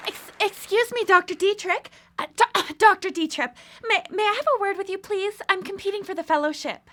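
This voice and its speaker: A woman's voice: very high voice, fairly quick, bright, forceful tone, a faint crowd. A woman playing a driven, obsessive female scientist in a live radio drama, earnest and intensely theatrical. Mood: eager, deferential.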